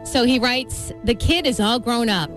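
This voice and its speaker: A woman's voice: on the high side, normal speed. A woman co-hosting a morning radio show, teasing and giggly in her banter. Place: radio studio. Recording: radio broadcast with music underneath.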